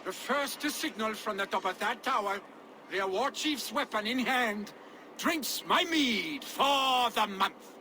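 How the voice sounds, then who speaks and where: A boisterous Viking warrior who jokes and boasts, his voice pitched fairly high and his delivery unhurried. Game ambience hums clearly behind him. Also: animated, sharp tone, exaggerated voice. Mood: boastful. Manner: teasing, gruff banter. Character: boisterous Norse warrior, jarl's son. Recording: game audio with ambient noise.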